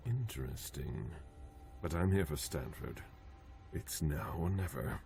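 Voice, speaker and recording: An actor playing a proud old vampire in a video game, cold, measured and gravelly, speaking tersely. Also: male voice, very deep voice, expressive, a little mellow, slight background noise, full character voice. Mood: cool, intent. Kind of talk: video game cutscene acting.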